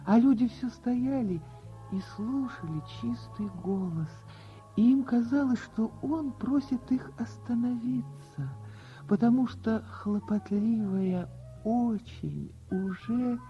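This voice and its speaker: A woman narrating a fairy tale for radio in a theatrical, expressive way, with dramatic pauses. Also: mid-range voice. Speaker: storyteller, actor. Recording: dull radio recording with music behind. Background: music.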